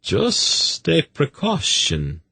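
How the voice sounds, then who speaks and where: Man voicing a fussy, nervous, high-pitched pleader. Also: huge pitch swings, drawn-out words, vocal fry, sharp tone, husky, dry recording, exaggerated voice. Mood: nervous, apologetic. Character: nervous, apologetic, coaxing male servant, fussy pet owner.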